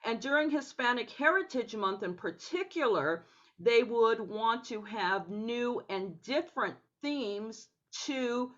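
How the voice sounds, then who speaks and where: A woman dance educator telling her story as a guest on a video call interview, speaking in a measured, deliberate way. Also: on the high side, highs cut off.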